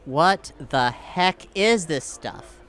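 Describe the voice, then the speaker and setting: A man's voice: a little high, lively intonation, very slow, fairly bright tone, a little hiss or hum. A man playing a tabletop game, talking casually with an informal, wry and sweary manner.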